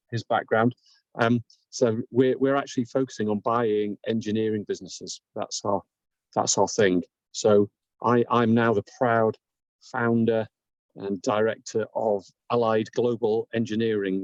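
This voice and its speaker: A man in his fifties with a northern English accent talks in a measured, dryly humorous way. His voice sits low. The video call audio is dull and dry, with no room sound. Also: darkish tone, dry recording. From northern England. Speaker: business owner, guest.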